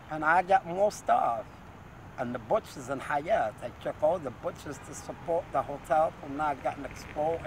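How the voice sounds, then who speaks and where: Agitated moroccan guy rambling on the street, kinda high voice, loud traffic behind him. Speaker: street interviewee. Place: city street outdoors.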